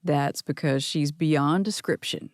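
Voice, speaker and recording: A woman reads an earnest young man, a touch lower than her narrating voice. He speaks sincerely and fondly, and the read is close and dry. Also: measured pace, dry recording. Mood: playful.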